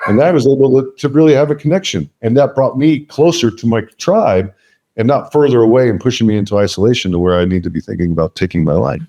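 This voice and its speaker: A man's voice: mid-range voice, quick pace, muffled recording. A man talking as an interview guest, emphatic and blunt, swearing freely in casual talk. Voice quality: loud voice. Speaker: podcast guest, veteran business leader.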